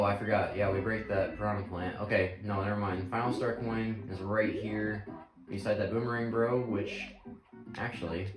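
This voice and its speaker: Low-voiced man losing his voice. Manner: rambling, self-correcting. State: losing his voice, clearing throat. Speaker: gaming channel host. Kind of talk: casual game commentary. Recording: echoey room with game music. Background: game music.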